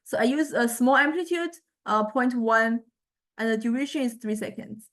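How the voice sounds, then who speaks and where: Halting woman lecturer with a higher-pitched voice. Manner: halting, searching for words, thinking aloud. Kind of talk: informal software demonstration lecture.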